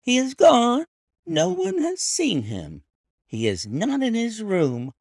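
A frail young housemaid speaks in a shrill, wild falsetto pitched far above the reader's own, as a man plays her in the audiobook. The voice is husky at its edges and sounds unhinged and overwrought. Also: huge pitch swings, takes it slowly, dry recording, clean studio sound, exaggerated voice. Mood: hysterical. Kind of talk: audiobook character voice.

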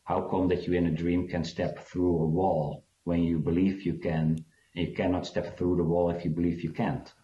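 A man's voice: deep voice, little pitch movement, moderate pace, few pauses, warm tone. A man from South Africa, a guest author, answering interview questions in a hesitant, reflective way with many fillers. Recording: dull, roomy sound.

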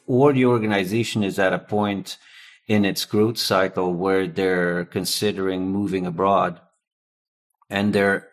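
A man raised in Belgium whose first language is Dutch talks through his answers at an unhurried, deliberate pace. His voice sits on the low side, and he pauses often with fillers and restarts as he works out each thought. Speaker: localization executive, guest. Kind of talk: conversational interview answers.

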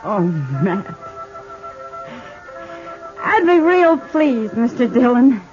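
A woman's voice: a little high, big pitch swings, very slow, loud music behind the voice, full character voice. An actress playing a proud, world-weary but tender frontier woman in a 1950s radio Western, speaking plainly and intimately. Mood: tender, grateful. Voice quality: husky. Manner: plain-spoken, folksy, intimate. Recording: dull, muffled 1950s radio recording.

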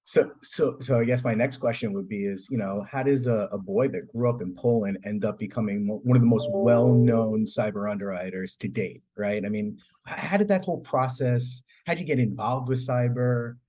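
A man with a slightly husky voice hosting a podcast, introducing the show and putting questions to a guest. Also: mid-range voice, normal speed, hardly any pauses, dark tone. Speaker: podcast host and interviewer.

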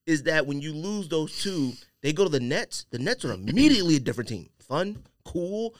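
Male podcast co-host, slightly high voice with very animated intonation, slangy, emphatic casual sports talk. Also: normal speed, dry recording.

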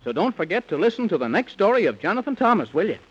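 A man's voice: sits high, very animated, fast talker, husky. A man announcing a 1930s children's radio serial, putting coaxing questions to his young listeners. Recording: muffled old 1930s radio recording.

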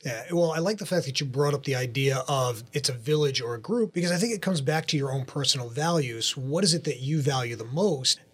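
A man's voice: medium pitch, expressive, fairly quick, hardly any pauses, husky. A man co-hosting a financial radio show in a casual, chatty way. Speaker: radio co-host.

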